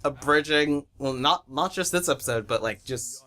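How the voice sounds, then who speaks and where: Casting: man with a slightly high, very bright voice, giggly and excitable, co-hosting a casual commentary. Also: normal speed. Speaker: series creator and editor on a commentary track. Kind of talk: casual group commentary, chatting with friends.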